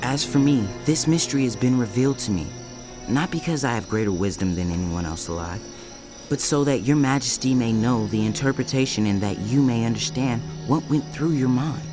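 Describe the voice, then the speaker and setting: An actor playing a devout, solemn seer in a dramatized audio Bible, speaking in reverent, measured, declamatory phrases. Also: male voice, mid-range voice, music in the background, natural voice. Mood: solemn, proclaiming. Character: Daniel, devout, solemn young Hebrew seer.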